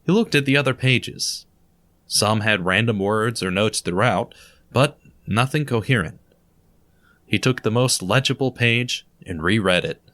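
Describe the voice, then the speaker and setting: A man narrates a radio drama and reads a scripted story. His pitch moves a great deal as he tells it. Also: lively intonation, measured pace, a bit bright.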